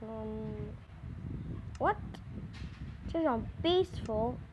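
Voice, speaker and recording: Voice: mid-range voice, lively intonation, drawn-out words. A female-sounding gamer giving casual let's play commentary in an informal, self-talking way, repeating words, on a noisy home recording. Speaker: gaming video host.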